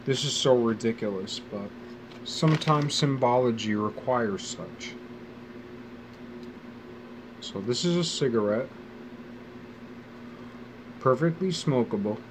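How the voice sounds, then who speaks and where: A man's voice: mid-range voice, expressive, takes it slowly, pauses often, noisy background. A man in his forties rambling casually about his life in a wry, profane, musing way, speaking outdoors. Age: 40s. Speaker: vlogger. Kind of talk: rambling personal monologue. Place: outdoors near a bench.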